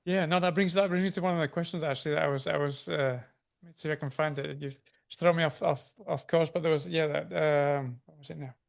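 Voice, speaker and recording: A man's voice: fairly high pitch, fairly even intonation, fast talker, vocal fry. A man hosting an interview, asking curious, rambling questions with frequent restarts. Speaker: interview host.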